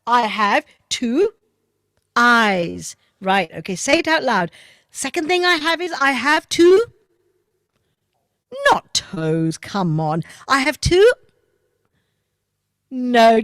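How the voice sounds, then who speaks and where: A woman storyteller speaking directly to children, with a high voice that leaps up and down expressively. She takes her time, and the sound is close and dry. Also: no echo. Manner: animated, talks directly to children.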